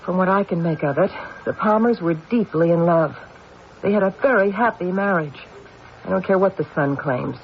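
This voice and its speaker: An actor playing a stubborn, intuitive police detective in an old-time radio mystery, insistent and deliberate. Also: male voice, sits high, vocal fry. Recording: dull, hissy old radio recording.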